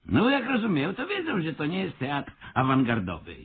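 An actor playing a cocksure schemer in a radio comedy who lectures condescendingly as a self-styled theatre expert. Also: male voice, a little high, wildly swooping pitch, a little fast, a little hiss or hum. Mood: lecturing. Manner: condescending, lecturing, mock-erudite. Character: cocksure male schemer posing as avant-garde theatre expert. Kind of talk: Polish radio play comedy acting. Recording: slightly muffled, lo-fi radio recording.

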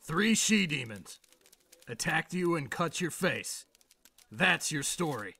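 An actor playing a gruff, dismissive police officer who believes none of it, in a radio drama. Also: male voice, on the high side, big pitch swings, slow, bright, forceful tone, heavily acted. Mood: skeptical, impatient. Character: skeptical, tough male police officer.

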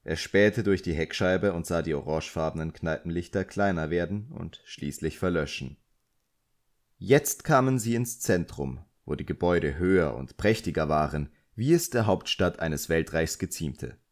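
A man with a low-pitched voice narrates an audiobook. He delivers scripted literary prose as a storyteller reading from the page. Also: animated, normal speed.

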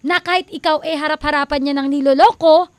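A woman with a Filipino accent co-hosts a radio advice show, mixing sermon-like encouragement with playful teasing and frequent laughter. Her voice sits high, and the studio sound is dry and close. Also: fairly even intonation, quick pace, dry recording. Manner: preachy and teasing, quotes scripture, laughs often. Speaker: radio co-host.

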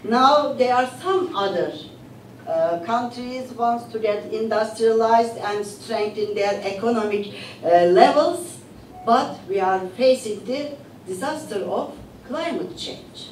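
An older woman, an English speaker, speaking on a panel and asking the audience rhetorical questions in an animated lecturing way. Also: on the high side, takes it slowly, slight background noise. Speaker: panelist, academic. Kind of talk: lecture-style panel talk. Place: conference room with audience. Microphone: podium mic, recorded from far camera. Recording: roomy hall audio from far off.

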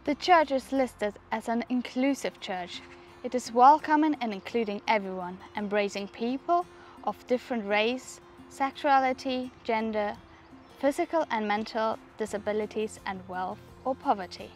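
Higher-voiced young woman vlogging. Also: moderate pace, soft background music. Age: 20s. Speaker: vlogger, presenter. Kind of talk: travel vlog presenting to camera. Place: outdoors in a churchyard. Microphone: clip-on lapel mic.

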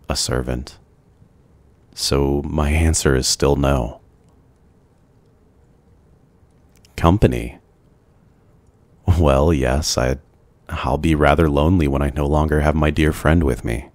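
A man playing a brooding, lonely, tender vampire in an ASMR roleplay, speaking hesitantly and gently with old-fashioned courtly phrasing. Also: very deep voice, no echo. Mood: gentle, reluctant.

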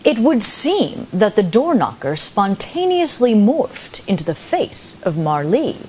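A woman playing a formal, logical Vulcan narrator, delivering measured, precise scripted narration in a live stage play. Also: mid-range voice, very animated, darkish tone. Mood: composed, formal. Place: theatre stage, indoors. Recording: live stage recording with background noise.

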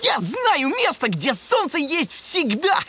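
A woman voicing a cheerful, excitable young dragon in a children's cartoon, friendly and exuberant. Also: sits high, slow, background noise, heavily acted. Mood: excited, inviting. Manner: exuberant, exclamatory. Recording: music behind the voice.

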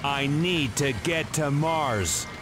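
An actor voicing a terse, guarded, low-key fugitive action hero in a video game. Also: male voice, takes it slowly, background noise. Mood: guarded, grim.

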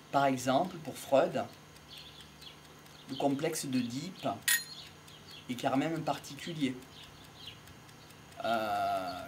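A middle-aged man gives an improvised, reflective monologue in a measured way, pausing between short phrases. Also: medium pitch, slight background noise. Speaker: amateur lecturer on psychology and philosophy. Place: outdoors in an open field by trees. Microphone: small wired mic held near the mouth.

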